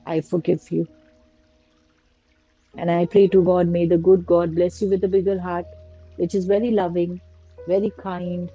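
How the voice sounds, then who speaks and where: A woman slowly guiding a prayer and meditation in a soft, repetitive litany of blessings. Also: mid-range voice. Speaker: meditation guide. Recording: dull lo-fi recording with faint music. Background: faint music.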